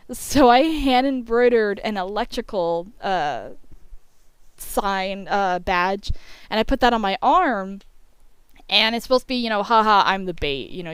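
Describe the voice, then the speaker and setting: A woman's voice: a little high, smooth, no echo. A young woman telling a casual, chatty story in a giddy way, acting out what people said. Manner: giddy, animated retelling with acted-out quotes. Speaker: storyteller narrating over a speedpaint video.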